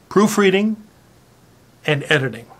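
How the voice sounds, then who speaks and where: A person giving a mock-dramatic reading with theatrical, exaggerated emphasis and dramatic pauses. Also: medium pitch, fairly bright tone, husky.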